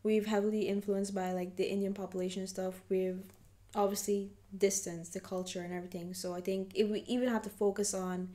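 A woman's voice: medium pitch, level tone, a little fast, mellow. Guest: young Guyanese woman, conversational, easygoing, remote call audio. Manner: chatty, laughs often, acts out quoted reactions. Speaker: podcast guest. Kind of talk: casual conversational interview.

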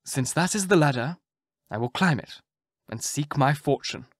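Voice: mid-range voice, lively intonation, normal speed, husky, no echo, clean studio sound. A narrator voicing a young king's son in a storybook reading, earnest and plain-spoken. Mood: resolved.

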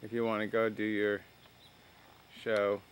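A father filming his children in the backyard, calmly quizzing and encouraging them. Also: lowish voice, a little slow, slight background noise. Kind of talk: casual home video chat. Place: sunny outdoor backyard garden. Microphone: camcorder mic.

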